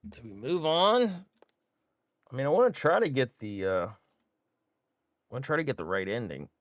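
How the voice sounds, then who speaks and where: A man's voice: medium pitch, big pitch swings, normal speed, no echo. A man giving laid-back, rambling commentary while livestreaming a game, with many fillers. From United States. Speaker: gaming streamer. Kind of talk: casual gameplay commentary.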